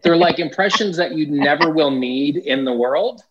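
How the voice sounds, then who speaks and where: A man's voice: fairly high pitch, moderate pace, fairly bright tone, husky. A Canadian man, an actor guest on a chat show, wry and self-deprecating. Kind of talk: casual interview chat. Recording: dull lo-fi sound.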